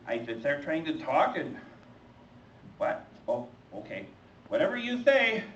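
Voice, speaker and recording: A performer voicing a nervous young prophet in a children's church skit, squeaky and lisping, stammering as he complains. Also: big pitch swings, unhurried, fairly bright tone. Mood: panicky. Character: young reluctant prophet Jeremiah, nervous and whiny. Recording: roomy, distant, muffled, faint noise.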